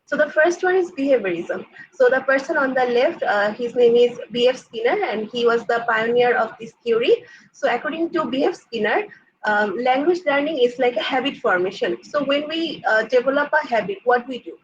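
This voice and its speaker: A woman's voice: fairly high pitch, moderate pace, slight background noise. A young woman, a graduate student giving a seminar presentation and explaining concepts with many "like" and "uh" fillers. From Bangladesh. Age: young adult. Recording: dull lo-fi sound.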